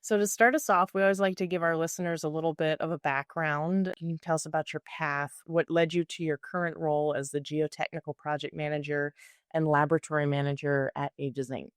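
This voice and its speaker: A woman's voice: sits low, steady tone, brisk, no echo, clean studio sound. A woman hosting a podcast, giving an upbeat read of the intro and then welcoming a guest. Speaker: podcast host. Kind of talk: scripted intro, then casual interview.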